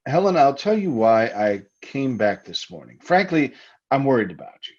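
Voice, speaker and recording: A man's voice: mid-range voice, sing-song, moderate pace, a little mellow. A man plays a solicitous husband in an old-time radio thriller. He soothes and patronizes with swooping intonation and an undercurrent of menace. The sound is slightly dull and lo-fi. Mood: quietly menacing.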